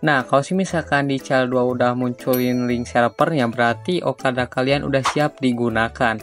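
A man's voice: medium pitch, steady tone, normal speed. Man giving casual tutorial over music. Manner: informal, repetitive step-by-step instructing. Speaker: tutorial host. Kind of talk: casual screen-recorded software tutorial. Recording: music behind the voice. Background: background music.

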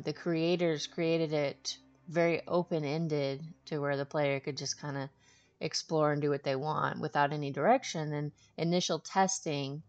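Low-voiced woman doing game walkthrough. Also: darkish tone, muffled recording. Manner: informal, explanatory. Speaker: video game walkthrough host. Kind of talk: casual game walkthrough commentary.

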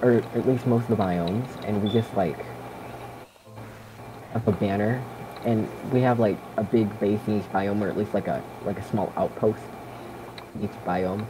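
A man's voice: lowish voice, steady tone, normal speed, pauses often. A young man chatting casually with a friend during a game, teasing and rambling. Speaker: co-player in gaming video. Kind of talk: casual chat while gaming. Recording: noisy voice chat recording. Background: clear background noise.

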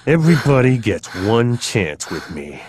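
Terse cynical mercenary. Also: male voice, medium pitch, very animated, unhurried. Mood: cold. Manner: terse, threatening. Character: hard, cynical male mercenary. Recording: game audio with loud music.

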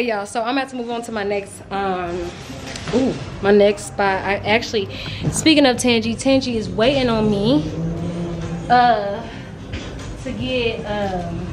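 A young American woman chatting casually for a vlog, with a playful, slangy, animated way of talking. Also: medium pitch. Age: young adult. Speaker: vlogger. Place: bright open-plan apartment kitchen and living room. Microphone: handheld camera. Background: music.

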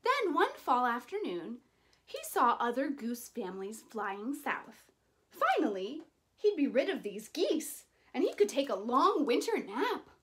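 Bright, high-pitched woman reading a storybook. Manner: animated, deliberate, dramatic emphasis. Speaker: storyteller reading a picture book to children. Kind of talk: expressive children's story reading.

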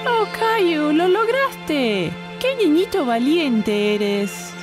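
A woman's voice: high-pitched, very animated, slow, music in the background, heavily acted. A woman playing a cheerful, encouraging, playful mother in a cartoon, with a Latin American accent. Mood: delighted.

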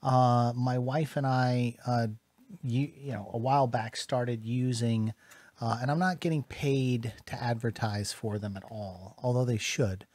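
A man's voice: medium pitch, steady tone, a little slow, a little mellow, no room sound. An American man in his forties talking casually and emphatically to camera as a vlog host, in an earnest, conversational way. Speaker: YouTube host. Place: small dim home studio room, indoors. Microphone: studio microphone on boom arm, close.

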